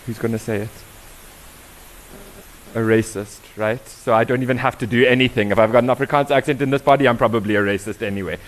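A young man with a South African accent leads a conference session. He keeps throwing quick questions at the crowd, and his pitch sits mid-range. There is a faint hiss behind him. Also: poor audio. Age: young adult. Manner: lively, questioning the audience directly. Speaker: diversity workshop presenter. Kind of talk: interactive conference talk.

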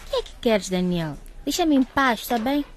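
A woman's voice: a little high, sing-song, moderate pace, slight background noise. An actress playing a hurt, defiant schoolgirl in a radio drama, speaking with emotion and reproach. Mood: hurt, angry. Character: hurt, defiant pregnant schoolgirl standing her ground.

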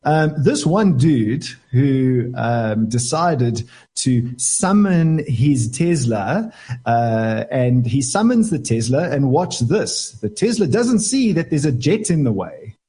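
A man chatting casually on a radio show about electric cars, relaxed and full of hesitant fillers. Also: medium pitch, slow pace, slightly rough. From South Africa. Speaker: tech and motoring contributor.

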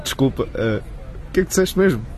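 A man's voice: medium pitch, expressive, quick pace, darkish tone, faint music behind, close to an everyday voice. A man playing an awkward, lovestruck young suitor in a radio drama, hesitant and defensive. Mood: teasing, awkward.

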